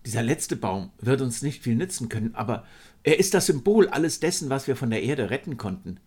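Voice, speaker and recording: A man's voice: medium pitch, big pitch swings, brisk, darkish tone, slightly rough. A man voicing a baffled, despondent male biologist in a science fiction story, earnest and pleading.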